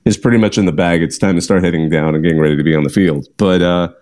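A man's voice: low-pitched, fairly even intonation, fast, a little mellow. Chatty man hosting a call-in show. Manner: chatty, rambling, laughs often. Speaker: host. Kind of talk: live call-in show chat.

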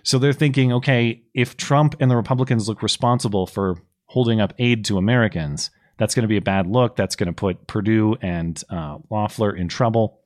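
Man on a podcast, voice a bit hoarse, walks through the news, lots of ums and uhs. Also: fairly low pitch, fairly quick, hardly any pauses. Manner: explanatory, recaps news with frequent fillers. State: slightly hoarse, losing his voice. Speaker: podcast co-host. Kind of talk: casual political commentary.

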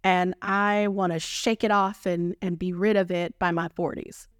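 A woman in her thirties with a Texan accent talks through her podcast in a playful, slangy way, cheering herself on as she goes. Her voice is smooth and resonant and unhurried. The sound is dry, with no room echo. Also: on the low side, fairly even intonation. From Texas, United States. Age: 30s. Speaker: podcast host. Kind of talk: solo podcast monologue.

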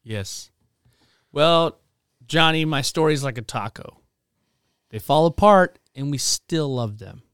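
A man's voice: a little high, expressive, slow pace, pauses often, fairly bright tone, clean tone, no room sound, clean studio sound. A man in his thirties, a pastor guesting on a podcast, playful and joking, laughing often. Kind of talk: casual chat.

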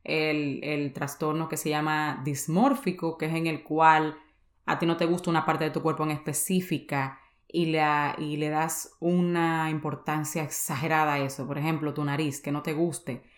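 A woman's voice: low-pitched, fairly even intonation, moderate pace, echoey room. A young Dominican woman with a Dominican accent hosting her own podcast, telling her story in a candid, confessional way and mixing English words into her Spanish. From Dominican Republic. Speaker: podcast host. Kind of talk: personal podcast monologue.

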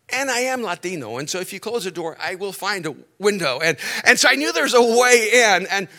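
A middle-aged man from El Salvador, a native Spanish speaker, preaching a sermon as an animated storyteller who stretches key words and drops dry jokes. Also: high voice. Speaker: pastor.

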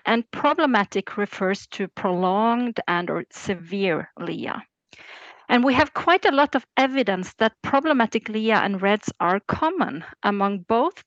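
A woman in her forties from Norway with a Norwegian accent, a professor speaking as a podcast guest, careful and measured with frequent fillers. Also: medium pitch, no echo, muffled recording. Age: 40s. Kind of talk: podcast interview.

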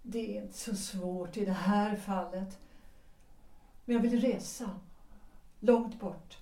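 A weary wife speaks quietly, set on leaving, voiced by an actress. Her voice is mellow and soft-edged. She sounds a little distant, in an echoing room, in this audio drama. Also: medium pitch, fairly even intonation, natural voice. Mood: weary resolve. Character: Gertrud, a tired wife leaving her husband. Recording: roomy, off-mic.

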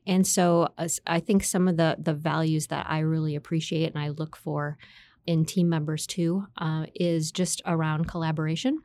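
Low-voiced upbeat woman guest. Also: steady tone, moderate pace, darkish tone. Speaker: guest, marketing executive. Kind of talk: conversational interview.

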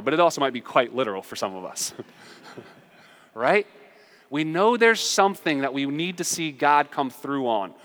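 A man preaching as a pastor in a conversational, impassioned sermon, with emphatic delivery and rhetorical turns. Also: mid-range voice. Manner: emphatic, swings between forceful declaration and quiet confiding, rhetorical questions. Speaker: pastor preaching to his church. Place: large echoing room, preaching to a congregation. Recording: reverberant hall.

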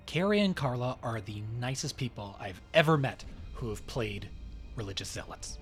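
A man hosting a podcast with scripted promotional narration in dramatic, measured phrases. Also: medium pitch, big pitch swings, a bit bright, soft background music. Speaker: podcast host.

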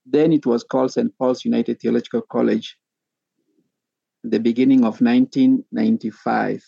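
A middle-aged man from Kenya, a pastor and theology professor, speaking as a podcast guest in a measured, methodical way with frequent pauses. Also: mid-range voice, gravelly, dark tone, no echo, lo-fi sound.

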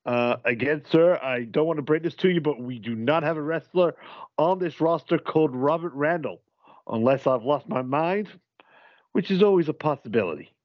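A weary, flustered call-in show host, played by a man in a comedy audio play. He speaks in a fairly high voice with stammering politeness, recorded dry and slightly dull. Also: expressive, normal speed, dry recording, natural voice. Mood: politely annoyed.

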